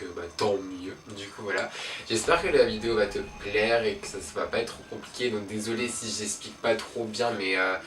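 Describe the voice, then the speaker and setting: A man's voice: on the low side, background noise. A young man with a head cold talking through a casual makeup tutorial, hesitant and full of fillers. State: congested with a cold. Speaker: makeup vlogger.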